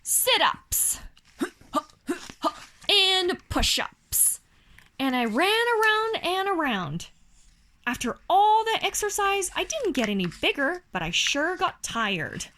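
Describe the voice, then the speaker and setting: A woman with a high voice and a Chinese accent reads a picture book to a child at an unhurried pace. Her storytelling is animated and swings widely in pitch. There is faint noise behind her. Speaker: parent reading a bedtime story to a child. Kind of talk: expressive read-aloud of a children's picture book.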